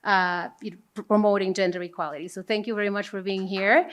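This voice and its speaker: A woman's voice: medium pitch, steady tone, quick pace, fairly bright tone. A woman from Panama, a native Spanish speaker and corporate executive, talking on a conference panel before an audience.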